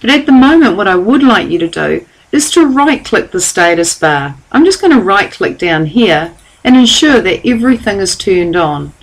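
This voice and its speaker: A woman giving a calm, step-by-step software tutorial over a screen recording. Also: medium pitch, moderate pace, hardly any pauses, poor audio. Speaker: software trainer.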